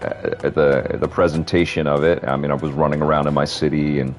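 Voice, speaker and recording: A deep-voiced man hosting a live game stream, talking in a loose, rambling way full of fillers. His voice sits very low. Faint game music plays behind him. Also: level tone, brisk. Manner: rambling, conversational, lots of fillers. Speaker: stream host. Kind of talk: live gameplay commentary.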